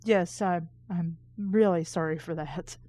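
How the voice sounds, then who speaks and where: A woman's voice: fairly low pitch, a little slow, warm tone, no echo. A woman hosting a book reading show, reading aloud casually and breaking off for sarcastic, critical asides.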